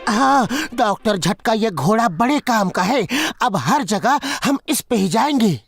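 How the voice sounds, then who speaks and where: A man voicing an eager, quick-thinking male sidekick in a children's cartoon. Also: high-pitched, animated, gravelly, exaggerated voice. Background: loud music.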